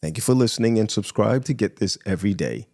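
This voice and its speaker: Male pastor, low register, soft dark tone, delivering a prepared daily devotional reading. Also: animated, fast talker.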